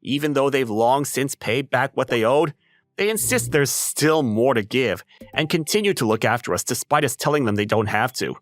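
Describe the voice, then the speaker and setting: A man playing an ordinary, level-headed high school boy who narrates a manga dub story in a wry, casual way. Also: animated, normal speed, no echo, soft background music, lightly acted. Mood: wry, explanatory. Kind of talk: scripted first-person story narration and dub acting.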